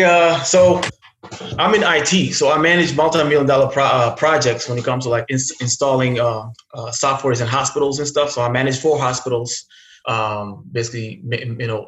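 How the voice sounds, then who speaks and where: A man's voice: mid-range voice, steady tone, brisk, a bit bright, reverberant. A man in his thirties from South Sudan, whose first language is Arabic, chatting casually as an interview guest with many fillers and restarts. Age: 30s. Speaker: guest investor.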